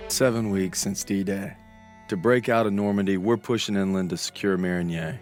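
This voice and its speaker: Actor as a low-voiced, grim war narrator. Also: male voice, steady tone, moderate pace, darkish tone, faint music behind, natural voice. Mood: grim, determined. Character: sober, wry American war narrator-soldier. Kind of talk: scripted war game narration.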